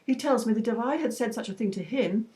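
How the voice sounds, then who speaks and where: A woman reading her own personal essay aloud in a measured, reflective and slightly wry way. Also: on the high side, dark tone.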